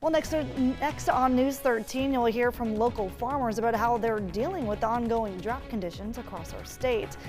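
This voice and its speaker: A woman news anchor reads the headlines in a bright, upbeat tone. Her voice sits a little high, with a long reverb and faint music behind her. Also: normal speed, few pauses. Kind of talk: scripted newscast delivery.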